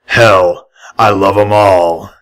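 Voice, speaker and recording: A man acts out a gruff stallion who bosses raiders in a pony adventure story. He is brash and pleased with himself, and he stretches his words out in a drawling, forceful way. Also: on the low side, fairly even intonation, slow pace.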